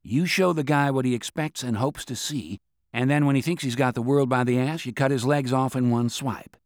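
A man voicing a blunt veteran military officer who speaks in a brash, profane, fast way. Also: mid-range voice, no room sound, studio quality, lightly acted. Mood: forceful.

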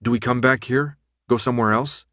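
A man's voice: mid-range voice, fairly even intonation, a little fast, bright, forceful tone, no room sound, studio quality, lightly acted. A man voicing a wary, determined young man, the hero of an audiobook novel, in an earnest, firm manner. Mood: curious.

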